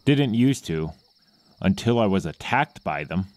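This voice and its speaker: A man performs a threatening henchman in an audio drama about witch hunters. The voice is very deep, with a gruff and menacing delivery. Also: animated, unhurried, a little mellow, dry recording. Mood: suspicious.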